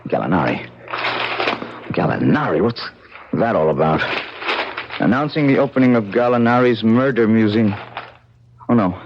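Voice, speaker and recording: An actor with an American accent playing an excitable, haunted struggling painter in a radio drama, in a theatrical manner. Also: male voice, on the low side, normal speed, long pauses, noisy background. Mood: alarmed. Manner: emotional, theatrical. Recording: dull lo-fi vintage radio recording.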